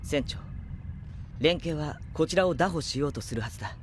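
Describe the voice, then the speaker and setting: An actor playing a calm, perceptive young spaceship crewman with gentle, composed speech in a Japanese audio drama. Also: a little high, moderate pace, slight background noise, lightly acted. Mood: calm warning.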